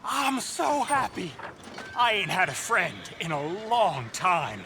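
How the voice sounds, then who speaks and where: An actor playing a lonely old American war veteran begging for coins in an Old West video game, rambling and plaintive like a child. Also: male voice, sits high, sing-song, slow, gravelly, background noise, heavily acted. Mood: wistful. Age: older.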